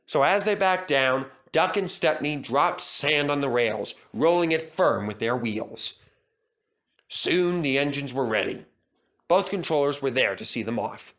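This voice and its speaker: A man reading a children's story aloud as a storyteller, in an animated narrating style. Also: mid-range voice, measured pace.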